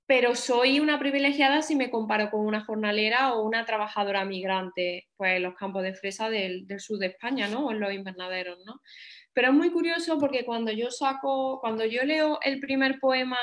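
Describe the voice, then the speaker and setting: A woman's voice: on the high side, fairly bright tone. A woman from Spain answering questions as a guest poet at a reading, talking in a thoughtful way and often checking in with short tag questions. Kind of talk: conversational answers at a poetry event.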